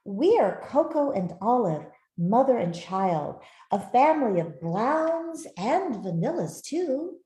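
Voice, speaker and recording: Slow, mellow woman reading to children. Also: fairly high pitch. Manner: gentle, expressive, with soft asides to listeners. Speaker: author reading her own picture book. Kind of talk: read-aloud storytelling for children. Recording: roomy, echoey sound.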